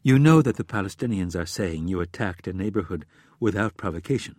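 A male narrator reads the part of a shrewd woman running American intelligence in an audiobook thriller. The delivery is measured, diplomatic and firm, with a slightly husky edge and a dry, close sound. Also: low-pitched, lively intonation, a little mellow, no room sound. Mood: probing. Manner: neutral, measured, controlled.

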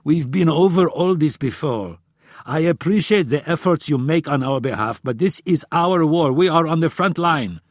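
A man's voice: medium pitch, normal speed, few pauses, a little mellow, dry recording, studio quality, lightly acted. A man voicing a blunt, combative Israeli intelligence chief in an audiobook, exasperated and emphatic in manner. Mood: frustrated, defiant.

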